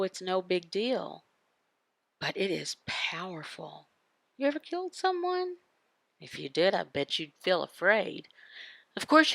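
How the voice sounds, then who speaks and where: A woman giving a devotional Bible teaching in emphatic, halting phrases, asking questions and answering them. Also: fairly low pitch, vocal fry, fairly bright tone, dry recording. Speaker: voice interpreter for a signed devotional. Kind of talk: devotional Bible teaching, voiced from sign language.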